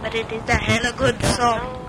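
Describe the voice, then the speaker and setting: A woman's voice: fairly high pitch, level tone, normal speed, noisy background. An older woman and mother, teasing and giggling in casual banter.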